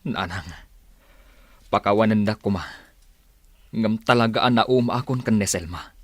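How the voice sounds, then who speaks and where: Guy playing fed up ilocano husband in radio drama, lower voice, close dry sound, stubborn. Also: measured pace, no room sound. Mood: weary, resolute. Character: fed-up husband, weary and stubborn, confiding in mother.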